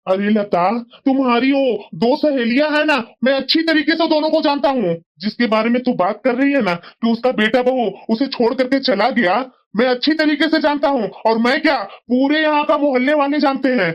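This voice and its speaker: Dull-sounding, high-voiced scolding cartoon husband voiced by a woman. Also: normal speed, hardly any pauses, close to an everyday voice. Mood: firm. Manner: reproachful, lecturing. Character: scolding, sensible middle-aged husband and father-in-law. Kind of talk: Hindi family moral-story cartoon dubbing.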